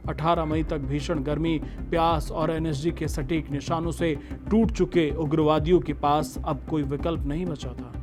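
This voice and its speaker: High-pitched male documentary narrator over music. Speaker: narrator of a history story show. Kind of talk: scripted dramatic documentary narration. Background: background music.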